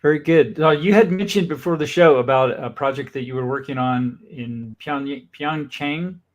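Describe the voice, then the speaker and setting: A man's voice: fairly high pitch, normal speed. A man hosting a business talk show, interviewing a guest in an upbeat, friendly way with enthusiastic interjections.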